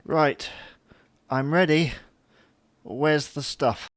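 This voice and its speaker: A man's voice: on the high side, extremely slow, loud music behind the voice. A man playing a grumpy, whining, babyish husband in an audio comedy drama, grouchy and plaintive in manner. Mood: reluctant.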